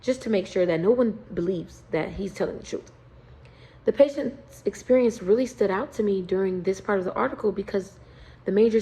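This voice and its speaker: A young woman, a student from the United States, reading a prepared class presentation in an earnest, steady tone. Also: mid-range voice, rough recording.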